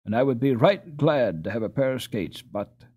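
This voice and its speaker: A man's voice: medium pitch, animated, normal speed, darkish tone, breathy edge. A man voicing an earnest, dutiful Dutch boy in an audiobook, speaking a little hesitantly. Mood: earnest, troubled. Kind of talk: audiobook character reading.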